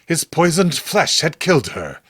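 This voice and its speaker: A man's voice: a little high, very animated, moderate pace, fairly bright tone, husky, no echo, clean studio sound. A man narrating a horror comic as a dramatic scripted story, in a grave, theatrical storytelling manner.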